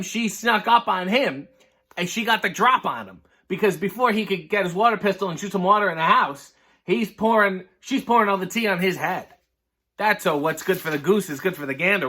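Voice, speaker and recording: Animated high-voiced man, wry storyteller. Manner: mock-concerned, wry, digressive. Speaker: host reading a children's book aloud. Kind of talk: comic storybook reading with running commentary.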